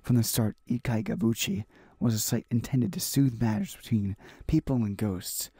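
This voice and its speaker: A man hosting a gaming video, reading a visual novel aloud in a halting way, stumbling and repeating phrases. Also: medium pitch, dark tone, slightly rough, no room sound, studio quality.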